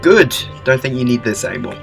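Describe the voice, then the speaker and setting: A man's voice: medium pitch, vocal fry, faint music behind. A man playing a whimsical, upbeat alien time traveller with a playful manner in a fan audio drama. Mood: wry. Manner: playful, animated, quick shifts.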